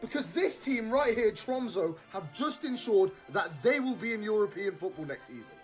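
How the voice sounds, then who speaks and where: A speaker in an excited football explainer, enthusiastic and laughing between phrases. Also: mid-range voice, moderate pace, faint music behind. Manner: enthusiastic, laughs mid-sentence.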